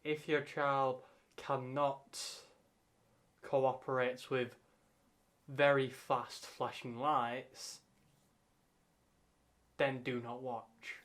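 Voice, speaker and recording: A man gives casual, joking reactions in an unhurried, slow delivery. He rambles and restarts his sentences as he reacts. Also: mid-range voice, flat delivery, a bit bright. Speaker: co-host reacting.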